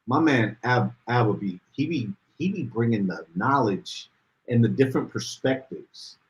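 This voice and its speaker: A man co-hosting a casual podcast livestream, reading out viewer comments and getting animated as he talks. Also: unhurried, rough recording. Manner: animated, rises in pitch when worked up. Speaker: podcast co-host.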